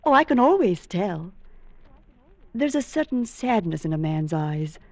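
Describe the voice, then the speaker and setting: An actress playing a lonely, genteel single woman, tender, admiring and halting, in old-time radio drama style. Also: on the low side, big pitch swings, a little mellow, no echo, exaggerated voice. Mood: tender, sympathetic. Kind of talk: old-time radio romantic suspense acting.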